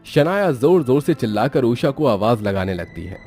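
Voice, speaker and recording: A man's voice: medium pitch, very animated, normal speed, darkish tone, faint music behind. A man narrating a scripted moral story.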